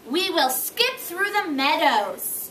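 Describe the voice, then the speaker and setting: Lady teacher reading to little kids, really high voice, asks questions slowly, echoey noisy classroom, mic far off. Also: very animated, sharp tone. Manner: slow, questioning, repeats and prompts. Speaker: early-grade teacher. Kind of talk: interactive read-aloud to young children. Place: classroom with children.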